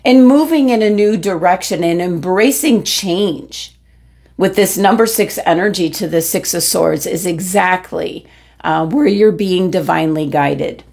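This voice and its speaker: A woman giving a weekly tarot reading, losing her voice, with a gentle, meandering delivery full of pauses and ums. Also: fairly low pitch, vocal fry. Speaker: tarot reader.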